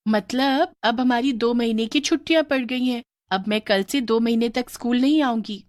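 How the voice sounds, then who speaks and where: A woman voicing a cheerful schoolgirl who loves street snacks, bubbly and excited. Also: fairly high pitch, normal speed, no echo, clean studio sound, pitched above the performer's own voice, close to an everyday voice.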